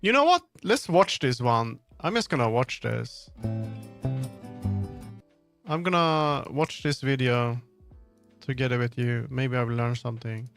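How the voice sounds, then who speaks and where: Casual male livestreamer, average pitch, muttery and wandering delivery, light music behind. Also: animated, a little slow, creaky, long pauses. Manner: rambling, muttering to himself, jumping between thoughts. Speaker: streamer.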